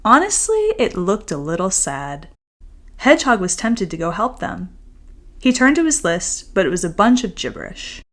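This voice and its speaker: A woman with a medium-pitched voice reads a children's picture book as its author. The delivery is expressive and careful, shaped for young listeners, and carries the same storyteller manner when speaking to them directly. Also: normal speed. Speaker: children's author reading their own book. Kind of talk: expressive storybook read-aloud and friendly chat to young listeners.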